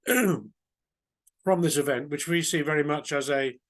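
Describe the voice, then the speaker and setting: A man, hoarse from a cold, speaks in a fairly high voice. He talks haltingly, with frequent ums, pauses and restarts. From England. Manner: hesitant, many ums and restarts. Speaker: project lead. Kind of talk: webinar remarks.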